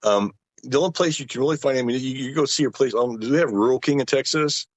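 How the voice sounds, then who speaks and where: A man's voice: medium pitch, fast talker. A middle-aged man giving his opinions casually as a panel guest, with a folksy way of talking, on lo-fi audio.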